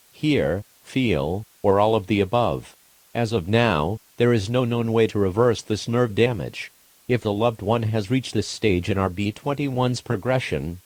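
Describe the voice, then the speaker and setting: A man with a lowish voice reads out an emergency alert in a formal, impersonal manner. His delivery is measured and scripted, like an official announcer. The sound is dry, with very little room on it. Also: darkish tone, dry recording, low-quality recording.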